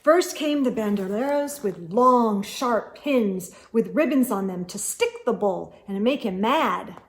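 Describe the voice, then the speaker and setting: A woman's voice: medium pitch, animated, unhurried. A woman reading a children's storybook aloud as host, expressively and with emphasis, speaking directly to young listeners.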